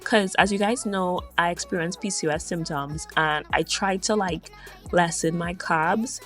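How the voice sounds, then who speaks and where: Trini woman narrating her vlog, lowish voice, soft music underneath, chatty and all over the place. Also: normal speed. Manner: chatty, digressive and upbeat. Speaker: vlogger.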